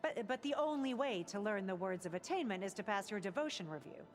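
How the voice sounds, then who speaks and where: A woman's voice: mid-range voice, expressive, brisk, soft background music, natural voice. A woman playing an earnest, devout cult convert in a video game, a former marine who speaks reverently and a little hesitantly. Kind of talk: video game cutscene acting.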